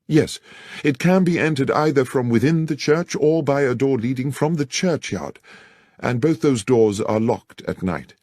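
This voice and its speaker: A man's voice: medium pitch, lively intonation, unhurried, a little mellow, no echo, clean studio sound, pitched above the performer's own voice. A man voicing an earnest, anxious young clergyman in an audiobook, speaking formally and with care. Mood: anxious, confiding. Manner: formal, careful, wordy. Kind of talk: dramatized audiobook character dialogue.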